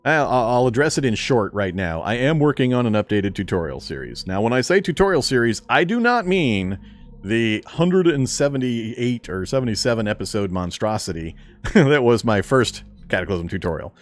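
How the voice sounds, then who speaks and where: A man's voice: mid-range voice, big pitch swings, normal speed, soft background music. A man streaming a video game, chatting casually and answering viewer questions with a fairly strong speaking voice. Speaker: gaming streamer. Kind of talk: casual live-stream chat while gaming.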